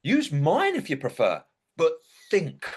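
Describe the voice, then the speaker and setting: A man's voice: on the high side, huge pitch swings, a little slow, breathy edge, heavily acted. An actor playing an indignant, sardonic dead young man who narrates a comic stage monologue in a wry, theatrical way.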